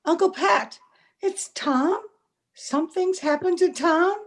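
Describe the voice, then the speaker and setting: A woman's voice: very high-pitched, slow pace, exaggerated voice. A woman playing an anxious, grieving young sister in an old time radio drama, earnest and questioning, recorded over a video call. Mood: alarmed, anxious. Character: worried, grief-stricken young woman, sister of a slain trooper.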